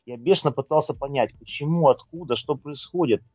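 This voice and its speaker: A man's voice: on the high side, a little fast, a little mellow, husky, no room sound, lo-fi sound. A man presenting his own writing in an informal talk and reading aloud.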